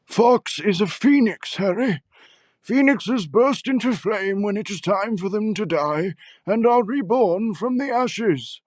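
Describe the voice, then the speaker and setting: An old wizard headmaster played by a man with a high, dry-sounding voice. He is kindly and calm, explaining things in an unhurried, scholarly manner, as in a fantasy audio drama. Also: dry recording, heavily acted. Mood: gently explaining. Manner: unhurried, scholarly, gently probing.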